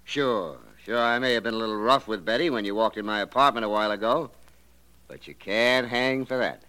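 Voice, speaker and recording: A man's voice: lowish voice, a little fast, sharp tone, poor audio. An actor playing a menacing young male murderer in a 1940s radio drama, sneering and threatening. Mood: defiant.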